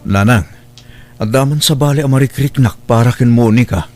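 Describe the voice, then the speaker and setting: A man's voice: mid-range voice, moderate pace, music in the background, lightly acted. An actor playing an earnest adult son and father in an Ilocano radio drama, with a plaintive delivery.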